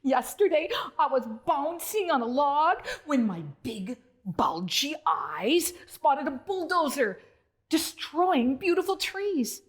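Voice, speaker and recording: A woman voicing a tiny, jittery male tree frog in a children's storybook, stuttering and squealing in an excitable way. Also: high-pitched, takes it slowly, voice pushed up, heavily acted. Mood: distressed, panicked. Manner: stuttering, shrieking, squealing, repeats words. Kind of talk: children's storybook character reading.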